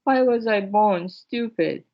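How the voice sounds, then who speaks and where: A humble, slow-witted disciple voiced by a woman storyteller speaks earnestly and with self-doubt. The storybook delivery is slow and gravelly, in a dull lo-fi recording. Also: mid-range voice, animated, voice pushed up. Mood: lamenting. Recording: dull, lo-fi sound.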